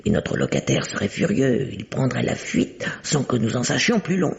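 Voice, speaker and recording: A woman's voice: extremely low pitch, wildly swooping pitch, normal speed, vocal fry, heavily acted. An actress playing a prim, suspicious, secretive spinster landlady with hesitant formal politeness in an old French radio mystery. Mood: suspicious, conspiratorial. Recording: dull, band-limited vintage radio recording.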